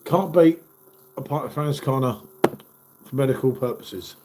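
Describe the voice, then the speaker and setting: A man's voice: medium pitch, normal speed, background noise. A man in his fifties, hosting a casual live stream and chatting matily with viewers while stuffed up from a cold. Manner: chatty, matey, calls viewers "mate".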